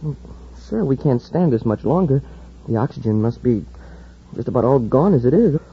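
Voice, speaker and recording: An actor playing an eager, anxious young space cadet in a 1950s radio drama, boyish and deferential, with a raspy voice on a muffled old recording. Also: male voice, mid-range voice, expressive, moderate pace, a little hiss or hum, close to an everyday voice. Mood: worried.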